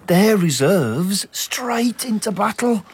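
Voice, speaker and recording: Radio drama: male soldier, blunt, exasperated, extremely husky. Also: high-pitched, lively intonation, takes it slowly, exaggerated voice. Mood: brisk, exasperated.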